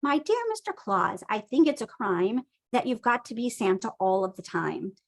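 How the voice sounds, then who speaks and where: A woman's voice: on the high side, very animated, normal speed. A woman voicing an eager, scheming male skeleton from a storybook, theatrical and grandly persuasive.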